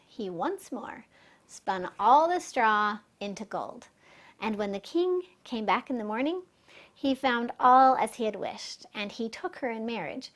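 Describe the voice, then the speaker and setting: A woman storyteller reading a fairy tale expressively for children. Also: a little high.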